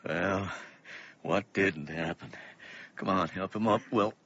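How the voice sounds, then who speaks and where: An actor playing an earnest middle-aged father in a radio play, speaking in a theatrical, deliberate and emphatic way. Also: deep voice, fairly bright tone, heavily acted. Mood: grave, reassuring. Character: earnest ageing father defying evil with tenderness. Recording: dull lo-fi audio with music.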